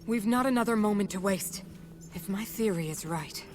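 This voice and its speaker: A woman's voice: medium pitch, moderate pace, darkish tone, faint music behind, lightly acted. A woman playing a solemn, urgent leader in a video game, warning of danger in a dramatic way. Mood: grave, urgent. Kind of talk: video game cutscene acting.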